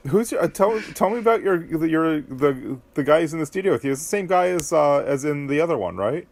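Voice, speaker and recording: A man chatting casually as a podcast guest, halting and curious. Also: on the high side, expressive, gravelly. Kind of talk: casual chat.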